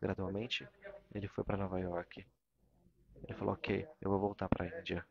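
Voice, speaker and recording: A man with a Brazilian Portuguese accent gives a live interpretation of a morning religious talk, halting and repeating phrases as he searches for words. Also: low-pitched, flat delivery, vocal fry, lo-fi sound, crowd noise. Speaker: interpreter of a spiritual discourse.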